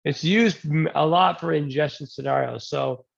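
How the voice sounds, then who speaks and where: A man with a very gravelly, slightly high voice presents a technical topic over a video call. He is getting over a cold and keeps a loose, joking manner with frequent ums. The sound is dull and lo-fi. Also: moderate pace. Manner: relaxed, joking, many ums and restarts. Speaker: presenter at a user group talk. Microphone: new desk mic, then a headset.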